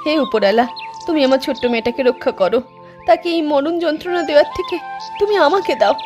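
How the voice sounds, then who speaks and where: A woman's voice: high-pitched, brisk, highs cut off. A woman voicing a caring, pleading village mother in a horror cartoon. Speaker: voice actor for mother and young girl. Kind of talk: cartoon voice acting. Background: music.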